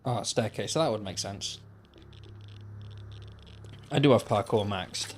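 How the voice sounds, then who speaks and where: A man's voice: fairly low pitch, a little slow, faint music behind. Man musing aloud while gaming. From Wales. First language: Welsh. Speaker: gaming livestreamer. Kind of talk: casual chat while playing.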